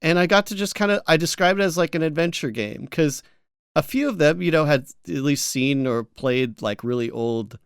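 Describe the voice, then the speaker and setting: A man co-hosting a podcast in casual chat, speaking haltingly and often restarting his sentences. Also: on the high side, smooth, dry recording, clean studio sound. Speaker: podcast co-host.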